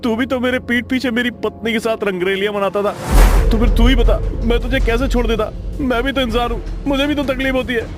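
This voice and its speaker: A man's voice: very high-pitched, fairly even intonation, a little fast, voice pushed up. A man acting a desperate, guilty middle-aged doctor in a horror story, pleading and indignant in an agitated way. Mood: indignant, wounded. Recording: loud music behind the voice.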